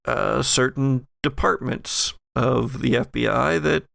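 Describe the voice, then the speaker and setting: A man playing an offhand, knowing ex-FBI occult scholar who explains things haltingly in a tabletop audio drama. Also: medium pitch, vocal fry, a bit bright, dry recording, clean studio sound, heavily acted. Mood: dry, defensive.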